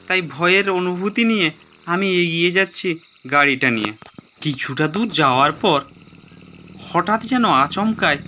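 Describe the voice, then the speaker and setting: A man's voice: high voice, pushed and bright, slight background noise. A man narrating a dramatized first-person horror story. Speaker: narrator and voice actor.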